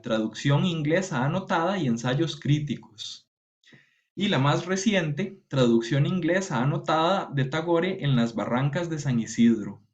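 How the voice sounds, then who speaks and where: Man, academic moderator, formal and steady reading; lo-fi video call sound. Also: fairly high pitch. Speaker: event moderator. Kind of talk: formal reading of a speaker introduction. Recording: dull lo-fi video call audio.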